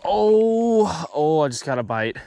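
A man's voice: on the high side, sing-song, slow pace, gravelly, dark tone. Upbeat young man fishing from a boat. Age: young adult. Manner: upbeat, chatty, talks to viewers while fishing. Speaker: fishing vlogger. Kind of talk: casual vlog commentary. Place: outdoors on a small boat on a pond. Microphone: action camera on the boat.

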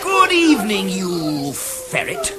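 Theatrical, wildly swooping toad. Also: male voice, high-pitched, wildly swooping pitch, slow, full character voice. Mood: mockingly menacing. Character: boastful, taunting, theatrical male toad. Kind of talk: BBC radio drama acting. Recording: 1970s radio play, loud crowd behind.

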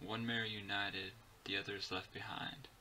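A man in his twenties giving casual reaction commentary in a halting, fragmented way. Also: fairly low pitch, little pitch movement, sharp tone, a little hiss or hum, rough recording. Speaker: reaction video host. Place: bedroom, indoors.

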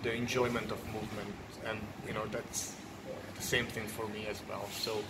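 A man in his forties gives a calm, earnest testimonial, pausing often on ums and uhs, his delivery fairly flat and even. He is recorded in a roomy, echoing gym, with loud training noise carrying on behind him. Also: lowish voice. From Hungary. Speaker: jiu-jitsu coach giving a testimonial. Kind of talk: casual testimonial to camera. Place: large indoor martial arts gym with mats and people training.

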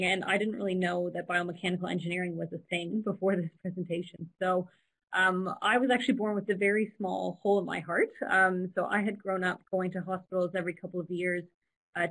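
A woman's voice: fairly low pitch, slightly rough, rough recording. A young woman with a Canadian accent, giving a casual engineering talk over a video call, chatty with frequent ums. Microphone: laptop webcam on a video call.

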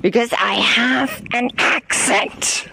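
Young german guy with an accent, slow rambly vlog talk, traffic noise around. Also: very high-pitched, fairly even intonation, gravelly. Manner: rambling, self-deprecating, swears casually. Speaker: vlogger. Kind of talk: casual walking vlog. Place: outdoors on streets and on a bus. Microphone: phone held while walking.

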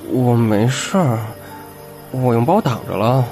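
A young man acting an aloof, guarded high school boy with a curt manner in a Chinese audio comic drama. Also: expressive, a little slow, darkish tone, faint music behind. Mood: quiet reassurance.

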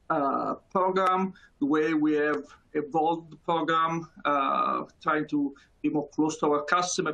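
A man's voice: on the high side, vocal fry, bright, forceful tone, poor audio. A man in his thirties with a Neapolitan Italian accent, giving a conference talk over a video call with many fillers and restarts. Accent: Italian, from Naples. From Italy. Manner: halting, many fillers and repeated words. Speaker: conference speaker. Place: small room indoors. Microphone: video call webcam.

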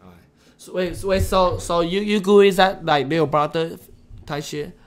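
A man's voice: high voice, normal speed. Stammering young man full of slang. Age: young adult.

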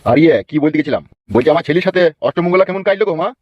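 Man doing a gruff, fast-talking village father. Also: on the high side, lively intonation, dry recording. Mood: friendly. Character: gruff, foul-mouthed but kindly middle-aged village father. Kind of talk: comic cartoon dubbing. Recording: dull lo-fi audio, music bed.

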